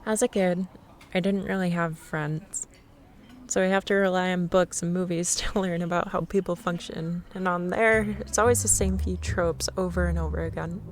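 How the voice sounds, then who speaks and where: A young woman in a scripted ASMR roleplay, playing a shy, nervous stranger who rambles and laughs nervously in a soft voice. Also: on the low side, steady tone, normal speed.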